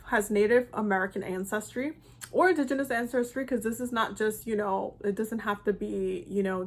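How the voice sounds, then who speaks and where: A woman's voice: medium pitch, a bit bright. A young woman from the Dominican Republic, talking casually to her viewers in a loose, rambling way with many fillers. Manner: loose and chatty, many ums and restarts. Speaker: spiritual content creator. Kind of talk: casual vlog talk to viewers.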